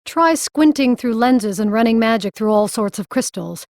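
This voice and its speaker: A woman's voice: fairly high pitch, animated, normal speed, darkish tone, no echo, clean studio sound. A woman voicing a brusque woman mage and herbalist with a blunt, wry manner in an audiobook. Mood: brisk, irritable. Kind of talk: audiobook character acting.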